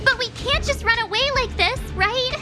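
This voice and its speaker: A teenage girl in a video game adventure. Her voice is extremely high, perky and anxious. Very loud music sits underneath. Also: moderate pace. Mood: anxious surprise. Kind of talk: video game cutscene voice acting.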